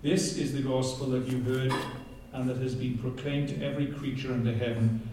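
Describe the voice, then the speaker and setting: A man's voice: mid-range voice, little pitch movement, normal speed, mellow, a little hiss or hum. A man with a Welsh accent preaching a passionate sermon and reading scripture aloud, often picking up speed as he goes. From Wales. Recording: very echoey hall, heard from a distance.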